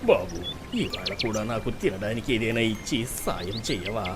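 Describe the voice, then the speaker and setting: A narrator voicing a frail, gentle old beggar man in a slow, pleading way for a children's cartoon story. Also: mid-range voice, expressive, crowd noise, voice pushed down, close to an everyday voice. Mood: weak, pleading. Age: older.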